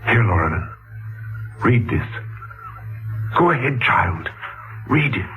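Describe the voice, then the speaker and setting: Slow, solemn old ghostly patriarch. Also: male voice, on the low side, big pitch swings. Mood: urgent recollection. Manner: halting, dramatic. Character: solemn, bewildered old patriarch returned from the dead. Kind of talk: 1940s radio acting. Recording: tinny 1940s radio recording, faint music.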